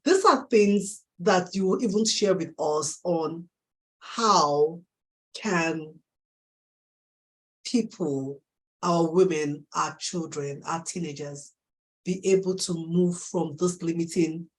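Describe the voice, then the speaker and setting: A woman with a Nigerian accent hosting a live interview, affirming her guest warmly and laughing often. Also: low-pitched, slow pace, rough recording. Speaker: host.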